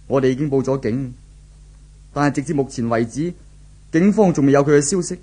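Actor as a husky, anguished young Hong Kong man. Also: medium pitch, brisk, mellow, close to an everyday voice. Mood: despondent. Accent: Hong Kong Cantonese. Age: young adult. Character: anguished, brooding young office worker, distraught boyfriend. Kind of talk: 1970s radio drama acting.

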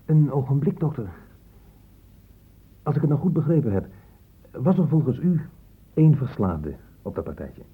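An actor in a Dutch radio play voicing a blunt, sardonic police inspector with a brusque, interrogating way of talking. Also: male voice, medium pitch, very animated, moderate pace, long pauses, slightly rough. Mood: sardonic, impatient. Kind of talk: Dutch radio drama acting. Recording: muffled phone-like old radio recording.

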